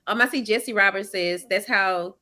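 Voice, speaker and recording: A woman's voice: mid-range voice, moderate pace, pushed and bright. A woman in her thirties co-hosting a casual chat show, playful and laughing, reading out viewer comments. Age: 30s. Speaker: co-host.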